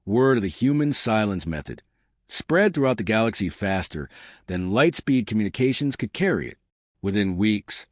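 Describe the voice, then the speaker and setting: Male sci-fi audiobook narrator. Low register, lively intonation, dry close sound. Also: no echo. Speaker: story narrator. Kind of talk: scripted audiobook-style science fiction narration.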